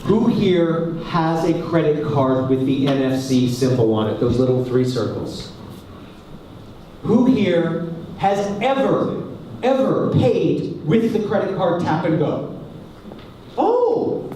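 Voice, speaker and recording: Man, from Canada, conference speaker, joking, mellow tone, very echoey hall, distant mic. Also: fairly high pitch, unhurried, a little hiss or hum. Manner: lively, joking, asks the audience questions. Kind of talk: conference talk to a live audience.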